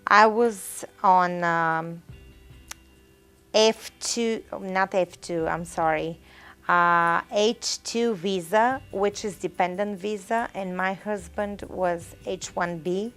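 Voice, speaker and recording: A woman from Bulgaria with a Bulgarian accent, answering interview questions in halting English and correcting herself as she goes. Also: fairly low pitch, a bit bright, smooth, soft background music. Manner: halting, simple learner's English with self-corrections.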